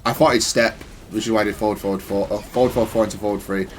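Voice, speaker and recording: A man's voice: lowish voice, fairly even intonation, very fast, soft background music. A man giving casual, analytical gameplay commentary in a matter-of-fact, self-critical way. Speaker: gaming content creator.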